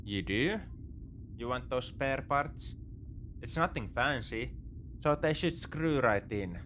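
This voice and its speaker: A man's voice: medium pitch, expressive, slow, a bit bright. Man playing a nonchalant space pirate over background noise. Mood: nonchalant, practical. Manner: conversational, nonchalant, dryly teasing. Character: wry, morally grey male space pirate, roguish captor. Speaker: audio roleplay performer. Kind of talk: ASMR roleplay, sci-fi audio drama.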